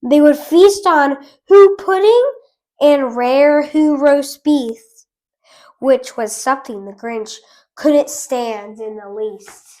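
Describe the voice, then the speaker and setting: Slow, high-voiced woman reading aloud. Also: darkish tone. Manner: chatty and friendly with viewers. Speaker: storytime host. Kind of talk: reading a picture book aloud.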